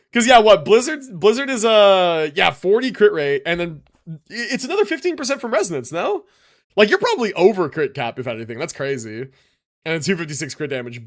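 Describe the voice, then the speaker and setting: Higher-pitched man streaming games, lively intonation. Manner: relaxed slangy talk, thinking aloud, reading stats and chat aloud. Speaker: livestream host reviewing game builds. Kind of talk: casual gaming livestream commentary.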